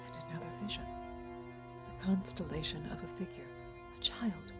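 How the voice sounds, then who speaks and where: A woman playing an anguished alien elf warrior, grieving and bitter, recounting a battle in halting, theatrical phrases for an audio drama. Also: sits low, over music, close to an everyday voice. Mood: awed. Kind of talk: dramatic first-person audio drama narration.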